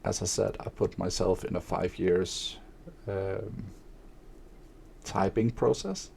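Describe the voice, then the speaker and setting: Slow, gravelly man. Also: low voice, a little hiss or hum. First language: Danish. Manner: monotone; hesitant, many fillers. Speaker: streamer and aspiring author. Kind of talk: casual spoken monologue.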